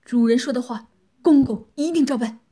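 A woman's voice: medium pitch, little pitch movement, slow, warm tone, breathy edge. A woman voicing a frightened, submissive man held captive in a dramatized audiobook, pleading in a servile manner.

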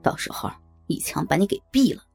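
A woman's voice: sits low, fast talker, darkish tone, slightly rough, faint music behind. A woman playing a guarded, scheming, corrupt male police chief in an audio drama. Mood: venomous.

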